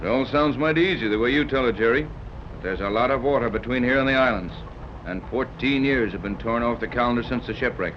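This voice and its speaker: Actor as a fast-talking, wry sea captain. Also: male voice, mid-range voice, natural voice. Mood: wry, doubtful. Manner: dry, commanding, clipped. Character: wry, skeptical, brisk male sea captain. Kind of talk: 1930s radio serial acting. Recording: dull old radio recording, faint noise.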